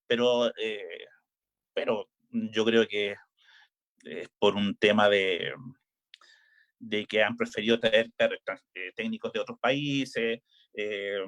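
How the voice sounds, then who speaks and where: A middle-aged Chilean man, a volleyball coach, talking casually in an interview with frequent hesitations and restarts. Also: medium pitch. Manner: hesitant, many filler "eh"s and restarts. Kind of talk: relaxed interview chat.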